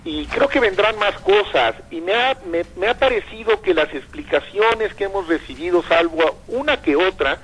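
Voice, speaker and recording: An older man speaks in a radio interview, his voice husky and a bit high. His pitch rises and falls a lot as he reasons aloud. He often hesitates and repeats words. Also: lively intonation, moderate pace, hardly any pauses, fairly bright tone, low-quality recording. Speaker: radio guest, commentator.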